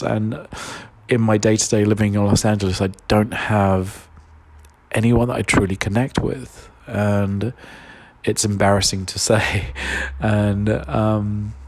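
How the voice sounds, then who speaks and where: A man, stuffed up with a cold, talking openly and hesitantly in a solo podcast monologue, full of pauses and fillers. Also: lowish voice, gravelly, a little mellow. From London, England. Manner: open, confessional, hesitant with many fillers. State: congested with a cold. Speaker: podcast host.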